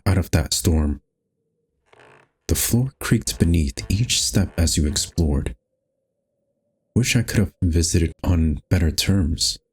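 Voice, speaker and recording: A man with a very low, husky voice hosts a game playthrough. He reads visual novel narration and character lines aloud. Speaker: gaming host.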